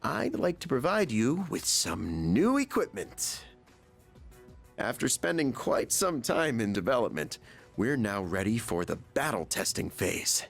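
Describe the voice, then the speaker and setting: A man's voice: medium pitch, big pitch swings, unhurried, gravelly, faint music behind, exaggerated voice. A man voicing a genial middle-aged chairman in a video game, politely and somewhat showily explaining things. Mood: explanatory, serious.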